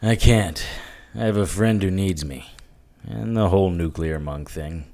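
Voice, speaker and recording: A man's voice: low voice, measured pace, dark tone. An actor playing a wisecracking, weary adult male hero in an audio drama, dry and sardonic. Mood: bantering. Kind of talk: audio drama acting and narration.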